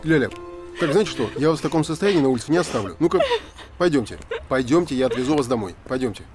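A man's voice: mid-range voice, expressive, fast, music in the background, lightly acted. A man in his forties playing a persistent, inquisitive theatre director in a naturalistic screen drama. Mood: concerned, probing. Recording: film dialogue over street and car noise.

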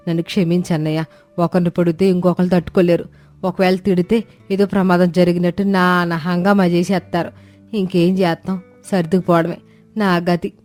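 A woman's voice: fairly low pitch, lightly acted. A woman voicing a stern, long-suffering older mother-in-law in a family story, admonishing and resigned.

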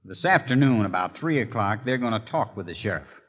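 Scheming outlaw, sly drawl, reverby old radio sound. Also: male voice, lowish voice, expressive, a little fast, fairly bright tone, husky. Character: scheming outlaw Joe, cool-headed plotter. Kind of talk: 1950s radio drama acting.

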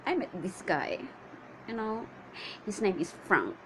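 A woman performing a comedic monologue as a needy, chatty woman waiting on a suitor, with theatrical exasperation and drawn-out questions. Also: mid-range voice, big pitch swings, noisy background. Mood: chatty, exasperated.

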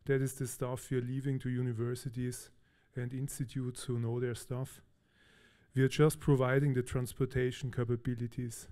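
Man from austria, warm mellow voice, conference speaker, relaxed and hesitant, stage mic in a big hall. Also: medium pitch, normal speed. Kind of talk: technical conference talk.

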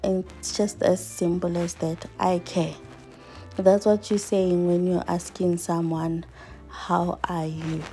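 A woman in her thirties talks to her viewers in a slow, unhurried way, her voice mellow and low. She sounds earnest and reflective as she does chores in her home kitchen, picked up by a camera across the room, with soft music faintly underneath. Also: little pitch movement. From South Africa. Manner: earnest, reflective, speaks while washing dishes. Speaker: vlogger. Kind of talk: casual chat to viewers while doing chores.

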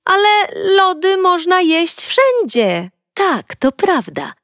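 A woman narrating a children's audiobook as a cheerful young girl, talking brightly and directly to young listeners. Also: extremely high pitch, sing-song, slow pace, dry recording, clean studio sound. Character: cheerful young girl telling her own story. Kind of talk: first-person storybook narration for children.

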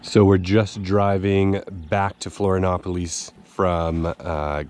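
A man's voice: deep voice, level tone, slow, a little mellow, faint music behind. A man with a cold, talking upbeat to viewers in a casual travel vlog, filmed at arm's length outdoors. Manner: upbeat, addresses viewers directly. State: has a cold. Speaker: travel vlogger. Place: outdoors in a town street. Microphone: camera held at arm's length.

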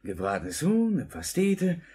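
Eager, lively young crook. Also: male voice, a little high, a little slow. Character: eager, cheeky young male pickpocket errand boy. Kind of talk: 1950s German radio play acting.

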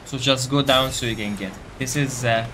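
Bright-voiced man guiding through a game. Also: medium pitch, steady tone, fairly quick, slight background noise. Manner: explanatory, addressing viewers directly. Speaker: gaming video creator giving a quest guide. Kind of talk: casual gameplay tutorial commentary.

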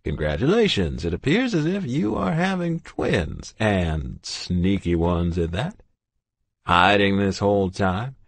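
Male narrator playing a gentle, unhurried old doctor, always good-humoured, slightly raised pitch, in a dry booth. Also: very animated, no room sound, exaggerated voice. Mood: amused. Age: older. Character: kindly cheerful older male doctor.

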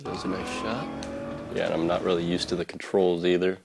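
Very low-voiced man doing casual game commentary. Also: flat delivery, fairly quick, creaky, background noise. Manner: self-deprecating. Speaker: let's play host. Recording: lo-fi home recording over game audio. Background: game music and sounds.

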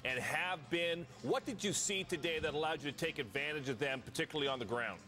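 Male TV sports analyst, fairly high register, live questioning from the studio. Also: brisk, noisy background.

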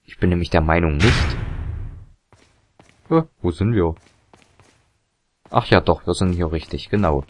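A young man commentating a video game, chatty and rambling with fillers and self-corrections, his voice a little hoarse and tired. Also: sits low, unhurried, long pauses, no echo. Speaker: let's play commentator. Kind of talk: casual gameplay commentary.

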